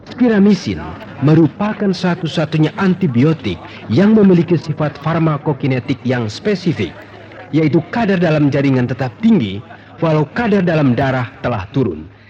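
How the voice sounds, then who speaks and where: Through a dull, vintage radio recording comes an earnest medical professor. His formal lecture style is radio-drama theatrical, with a fairly high voice and very animated intonation. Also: normal speed. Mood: formal, assured. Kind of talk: 1980s-90s Indonesian radio drama acting.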